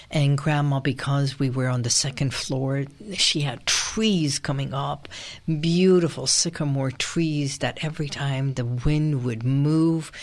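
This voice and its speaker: A middle-aged woman from Iran hosting a radio show, talking in a soft, meditative way with sighs and breathy pauses. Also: very deep voice. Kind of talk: reflective solo radio talk.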